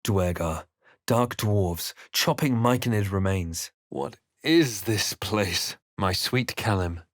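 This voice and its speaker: A man voicing a brooding, wry fantasy adventurer hero in a video game, with measured, dramatic delivery. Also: fairly low pitch, no room sound, studio quality. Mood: grave, haunted. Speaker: video game player-character voice actor. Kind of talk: dramatic first-person fantasy game narration and voice lines.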